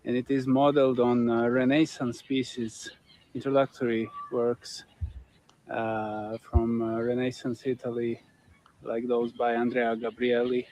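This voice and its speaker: A man, a composer calmly introducing his organ piece in a recorded message. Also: medium pitch, rough recording. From Serbia. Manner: plain, measured explanation.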